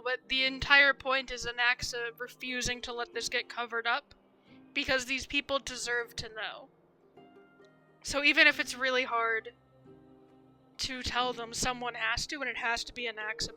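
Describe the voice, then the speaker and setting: A woman's voice: fairly high pitch, fairly even intonation, moderate pace, pushed and bright. A woman game streamer giving casual livestream commentary, conversational and thinking aloud. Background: faint game music.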